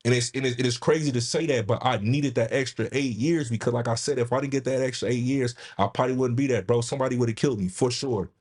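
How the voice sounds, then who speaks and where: A man's voice: mid-range voice, fast, hardly any pauses, a little mellow, husky. A man telling his own life story with candor on a live show, often restarting and repeating his phrases. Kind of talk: candid personal storytelling. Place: studio with caller on line.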